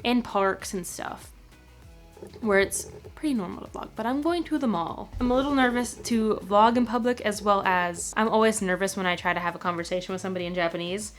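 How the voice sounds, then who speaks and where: A woman's voice: medium pitch, a little fast, faint music behind. Chatty young woman vlogging to camera. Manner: chatty, self-conscious, many fillers. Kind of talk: casual vlog chat. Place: home room, then parked car. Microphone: camera on-board mic at arm's length.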